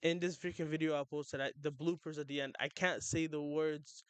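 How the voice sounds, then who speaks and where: Young man, excitable streamer, rambling, smooth clear voice, slightly high, dry close sound. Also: a little fast, no echo. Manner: excitable, rambling, trips over words. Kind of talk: casual livestream chat.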